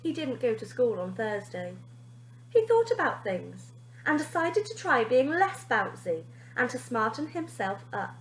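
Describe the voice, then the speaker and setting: A woman reading a children's storybook aloud as a teacher would, with expressive, emphatic storytelling. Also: fairly high pitch, a little slow, echoey room, slight background noise, rough recording.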